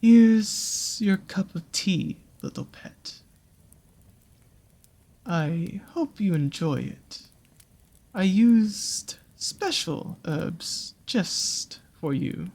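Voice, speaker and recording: A seductive naga monster of a dark forest, acted out by a performer, speaks very slowly in a husky, breathy voice. The lines swoop and curl with teasing menace, coaxing the listener in an intimate audio roleplay. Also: medium pitch, lively intonation, heavily acted. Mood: teasing, tender. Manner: slow, coaxing, teasing, drawn-out phrasing.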